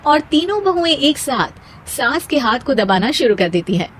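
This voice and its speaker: Female narrator for children's moral tales, high-pitched voice, scripted storytelling delivery. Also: very animated, moderate pace, a little hiss or hum.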